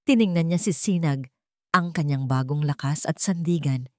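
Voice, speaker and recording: Woman, low pitch, scripted drama narration, deliberate delivery, dry close recording. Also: warm tone, no echo. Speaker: storyteller, narrator. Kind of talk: scripted dramatic story narration in Tagalog radio drama manner.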